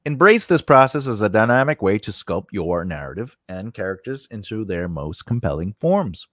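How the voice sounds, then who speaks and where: Animated man teaching in a dry studio. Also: lowish voice, moderate pace, no echo. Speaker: host of a screenwriting tutorial channel. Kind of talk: informal instructional talk to camera, partly read from notes. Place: indoor home studio. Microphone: close studio condenser microphone on a mount.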